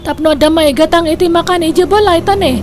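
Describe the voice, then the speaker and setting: A woman with an Ilocano accent playing a scolding, grasping, gossipy middle-aged aunt in a comic radio drama, sharp and nagging. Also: very high-pitched, brisk. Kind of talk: comedic radio drama acting. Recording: clear background noise.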